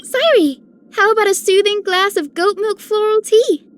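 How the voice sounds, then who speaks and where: A high, sweet young female voice for a gentle video game healer. She speaks softly and earnestly, with a tender temper. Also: moderate pace. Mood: sweet, cheerful. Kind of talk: video game character voice lines.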